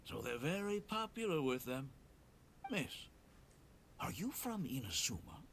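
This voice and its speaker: An actor playing a friendly, polite craft shopkeeper in a video game, with courteous sales patter. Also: male voice, fairly high pitch, very animated, a little slow, gravelly, noisy background, exaggerated voice. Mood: welcoming. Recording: game music behind the voice.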